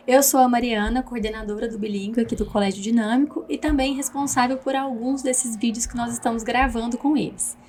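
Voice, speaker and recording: A woman's voice: high voice, a little hiss or hum. A woman with a Brazilian Portuguese accent, a school coordinator hosting a school podcast and patiently asking children questions.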